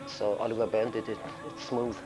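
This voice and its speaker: A man with a German accent, a pop singer speaking German as his first language, answering interview questions in halting, broken English. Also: fairly low pitch, level tone, poor audio. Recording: interview with music playing behind.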